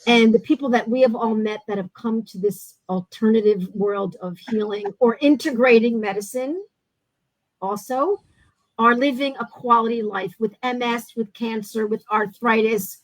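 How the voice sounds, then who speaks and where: A woman host with a slightly high voice speaks earnestly over a video call, pressing her points with emphasis. The sound is close and dry, with no room behind it. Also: dry recording, poor audio. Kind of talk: casual chat.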